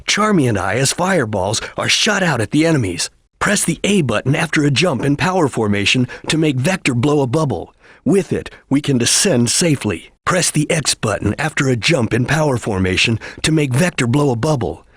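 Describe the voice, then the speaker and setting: A stern ninja chameleon from a video game. The voice is husky and moves widely in pitch around a middle register. Lines come out clipped, formal and solemn, like those of a disciplined fighter, recorded very dry. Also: male voice, animated, moderate pace, a bit bright, no echo. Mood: measured, instructive. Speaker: video game character giving battle lines and tutorials. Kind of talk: dramatic video game voice acting.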